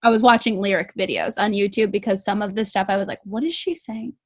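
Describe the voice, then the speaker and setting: A young woman with a sweet, squeaky voice, chatting casually about music and peppering her talk with "like". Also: expressive, quick pace. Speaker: co-host reviewing music. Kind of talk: casual chat.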